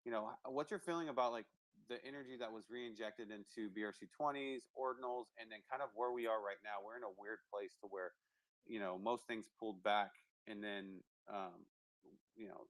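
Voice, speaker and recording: A man's voice: on the low side, steady tone, brisk, fairly bright tone, no room sound, low-quality recording. A man hosting a live online audio chat, upbeat and casual, thanking speakers and prompting questions. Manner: upbeat, many fillers and restarts while hosting. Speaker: host.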